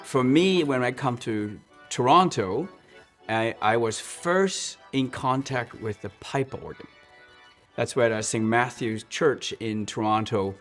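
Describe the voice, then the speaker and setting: Man, earnest storyteller, mid pitch, halting restarts. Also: faint music behind. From Hong Kong. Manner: earnest, restarts and repeats words, slows on key points. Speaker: church music director. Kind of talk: interview, personal storytelling.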